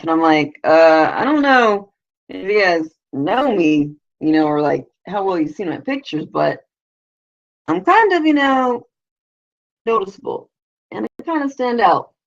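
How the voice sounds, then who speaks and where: A woman with a low, raspy voice hosting a casual live video show. She rambles crudely and leans on constant "you know" fillers. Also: lively intonation, measured pace, lo-fi sound. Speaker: vlogger, show host.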